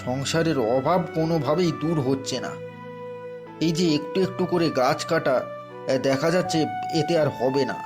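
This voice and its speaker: Poor village woodcutter husband played by a man, earnest and weary, higher-pitched voice, fairy tale cartoon, music underneath. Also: a little slow, close to an everyday voice. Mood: weary, determined.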